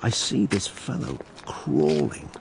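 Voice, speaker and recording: A man's voice: slow pace, background noise, lightly acted. An actor playing a wry, cynical journal writer who muses aloud as he narrates a radio drama. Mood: musing, vivid. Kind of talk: dramatic first-person narration.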